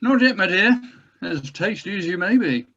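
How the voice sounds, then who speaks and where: A man's voice: high voice, lively intonation, normal speed, bright, forceful tone, muffled recording, exaggerated voice. A man playing a mild, learned doctor who speaks formally and hesitantly in a drama table read. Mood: wry reassurance. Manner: hesitant, stammering, wordy and formal. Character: mild, fussy, learned male doctor at the wheel. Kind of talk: table read of a horror screenplay.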